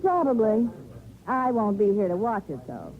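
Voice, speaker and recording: A woman's voice: medium pitch, sing-song, moderate pace, a crowd in the background, close to an everyday voice. An American actress playing a bored, sardonic, flirtatious small-town newspaper woman in a 1950s radio drama, cool and offhand. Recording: tinny, noisy old radio recording.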